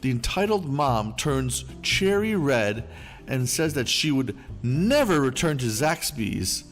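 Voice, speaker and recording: Male storytelling host, animated pitch, mid voice, soft music under the read. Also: takes it slowly. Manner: lively, expressive reading. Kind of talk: scripted narration of online stories. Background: faint background music.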